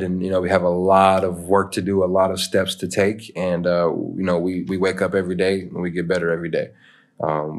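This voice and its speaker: A young American man with a very deep voice that barely rises or falls, so his lines come out low and level. He talks loosely and rambles, tossing in "man" and "you know" as he goes. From United States. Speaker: interview guest, pro basketball player. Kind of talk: casual podcast chat.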